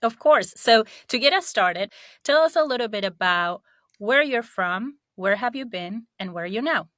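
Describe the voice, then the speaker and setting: Bright, upbeat woman podcast host. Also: on the high side, lively intonation, normal speed, no echo, studio quality. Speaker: podcast host and career coach. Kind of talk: podcast interview.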